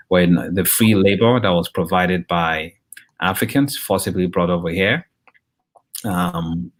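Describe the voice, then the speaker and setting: A man in his fifties from Nigeria, with a very low voice, answers an interviewer's questions. He speaks in a measured, conversational way. He often pauses, says um and restarts his sentences. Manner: measured, with frequent ums and restarts. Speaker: interview guest, journalist and professor.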